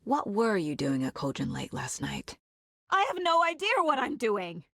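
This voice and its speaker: A woman's voice: mid-range voice, wildly swooping pitch, moderate pace, vocal fry, a bit bright, no echo, studio quality, exaggerated voice. A woman playing a serious, determined FBI agent in a video game, often musing to herself as she investigates. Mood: anguished, panicked. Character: determined, level-headed female FBI agent investigating supernatural horror. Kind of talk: dramatic video game voice lines.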